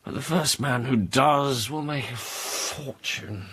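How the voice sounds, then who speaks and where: A man's voice: mid-range voice, takes it slowly, fairly bright tone, slightly rough. An actor playing a flustered, genteel, tipsy English man of letters in a period radio comedy, effusive and self-pitying. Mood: drunken resentment. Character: flustered, obsequious, bitter tipsy literary gentleman.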